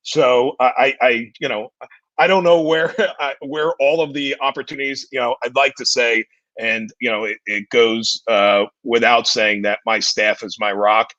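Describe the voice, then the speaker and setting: A man in his forties with a deep, distinguished voice, talking as a guest in a casual interview and often repeating words as he starts his sentences. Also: fairly even intonation, a little slow, sharp tone, no echo. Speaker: guest, entertainment company CEO. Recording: dull lo-fi dry sound.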